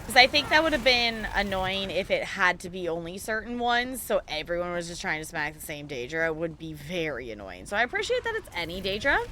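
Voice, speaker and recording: A woman's voice: on the low side, sing-song, fairly quick, hardly any pauses, pushed and bright, a little hiss or hum. A woman chatting casually on a gaming livestream, playful and self-deprecating, with theatrical exclamations. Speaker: live streamer.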